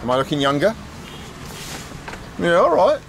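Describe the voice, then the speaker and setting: A man's voice: high voice, very animated, moderate pace, gravelly, fairly bright tone, poor audio. Chatty man vlogging outdoors by a road. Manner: chatty, jokey, talks to camera and to locals in simple English. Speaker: travel vlogger. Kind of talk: casual travel vlog narration. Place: open-sided roadside barber hut, then a sunny street outdoors. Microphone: handheld action camera at arm's length. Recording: outdoor street noise behind.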